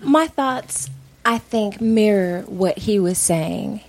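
An American woman talks casually and with opinion as a talk show co-host. Her pitch is medium for a woman, and she repeats words to drive points home. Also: animated, slow.

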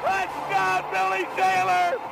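Frantic high-pitched man calling football. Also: monotone, takes it slowly, creaky, over a noisy crowd, heavy background noise. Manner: shouting, frantic, repeating himself. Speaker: sports play-by-play announcer. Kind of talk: excited radio football commentary.

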